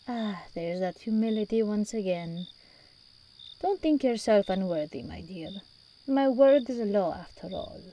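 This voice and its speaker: An imperious demon princess, acted by a woman, purrs through an ASMR roleplay in a warm, relaxed, mid-pitched voice. She speaks slowly and regally, doting and possessive. Faint ambient noise sits behind her. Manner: regal, teasing, unhurried delivery with endearments.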